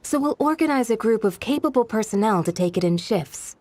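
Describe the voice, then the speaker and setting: An actress playing a dutiful, composed female village guardian in a video game dub, speaking in a measured, proper and earnest way. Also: a little high, animated, darkish tone, dry recording.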